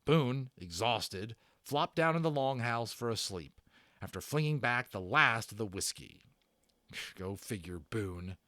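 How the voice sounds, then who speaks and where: A man reads a children's adventure story aloud. His delivery is theatrical and animated, with a medium pitch that swings widely and pauses placed for suspense. The sound is dry, with very little room in it. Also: no room sound. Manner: theatrical, with stressed words and dramatic pauses. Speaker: audiobook narrator and channel host. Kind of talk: dramatic read-aloud storytelling of a children's novel.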